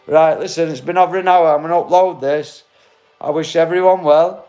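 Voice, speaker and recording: A man's voice: a little high, steady tone, fairly quick, darkish tone. Blunt, matey man in his forties. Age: 40s. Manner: blunt, matey, swears, calls everyone mate. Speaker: livestream host. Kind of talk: casual livestream chat to viewers. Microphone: phone.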